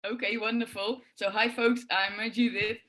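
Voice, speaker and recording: A woman's voice: mid-range voice, flat delivery, normal speed, a bit bright, poor audio. A middle-aged woman from the Netherlands with a Dutch accent, speaking thoughtfully and with many filler sounds as a guest on forgiveness work. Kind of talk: reflective interview answers.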